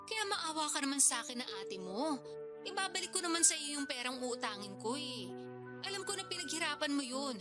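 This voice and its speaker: Music plays under a very high female voice with a Filipino accent. An actress plays a pushy big sister from the province in a radio drama. She needles, complains and wheedles for money. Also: expressive, fairly quick. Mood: pleading, wheedling. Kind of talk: Tagalog radio-drama phone dialogue. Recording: music bed behind the voice.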